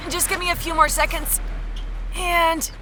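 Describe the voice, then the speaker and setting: An actress playing a brisk, evasive young woman amateur detective in an audio drama, dry and matter-of-fact. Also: very high-pitched, level tone, crowd noise, noisy background.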